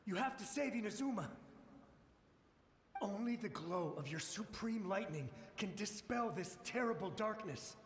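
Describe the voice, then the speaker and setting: Game cutscene: a panicked ghost soldier, high and strained, with an echoing reverb. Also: male voice, lively intonation, unhurried. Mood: pleading. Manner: urgent, pleading, panicked. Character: ghost of a desperate soldier, frantic and pleading. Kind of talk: video game cutscene dialogue.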